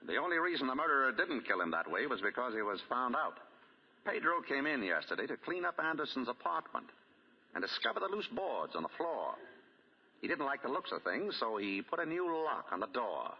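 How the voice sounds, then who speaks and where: Dull old radio recording, shrewd detective, swooping intonation, husky voice, accusing, deliberate. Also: male voice, medium pitch, big pitch swings, long reverb tail. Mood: probing. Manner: probing, deliberate, accusing questioning. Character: shrewd, composed male amateur detective laying out a case. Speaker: radio drama actor. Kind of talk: 1940s radio drama acting. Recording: dull, lo-fi 1940 radio broadcast.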